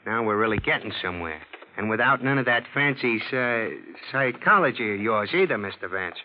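An actor as a blunt, animated police sergeant. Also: male voice, mid-range voice, fairly quick, slight background noise, natural voice. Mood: blunt, eager. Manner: slangy, ungrammatical, plain talk. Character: blunt, plain-spoken, unrefined male police sergeant. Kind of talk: 1940s radio drama acting. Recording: old muffled radio drama recording.

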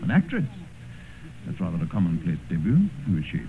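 An actor playing a worldly, cynical gentleman in an old radio drama, suave and amused, with drawling, epigrammatic delivery. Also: deep voice, huge pitch swings, fairly quick, exaggerated voice. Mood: amused disdain. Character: worldly, cynical English gentleman, older mentor and corrupter. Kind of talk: 1950s radio drama acting and first-person narration. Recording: muffled, hissy old radio broadcast.

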